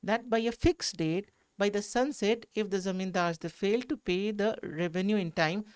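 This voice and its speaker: A man's voice: sits high, normal speed, clean tone. A man with an Indian accent, a history educator giving a didactic explanation in short phrases, repeating points for emphasis. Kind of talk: explanatory lecture in a panel discussion.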